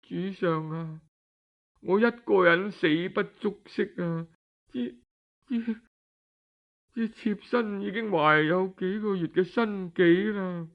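A man's voice: high voice, slow pace. A man voicing a tearful, scheming young royal concubine who pleads in an aggrieved way with a Cantonese accent, in a told historical story. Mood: tearful pleading. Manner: plaintive, pleading. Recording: dull lo-fi audio.

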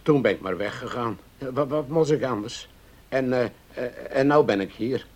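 An actor playing a humble, aggrieved ferryman in a radio play, halting and deferential in a plain-spoken way. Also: mid-range voice, natural voice. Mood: hesitant, hopeful. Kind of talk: Dutch radio play acting.

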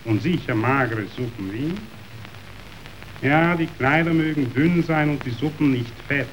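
An actor playing a ruthless yet sentimental meat magnate in a verse radio drama, declamatory, wheedling and self-pitying. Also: male voice, mid-range voice, a little slow, natural voice. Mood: condescending, musing. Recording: dull radio recording, faint noise.

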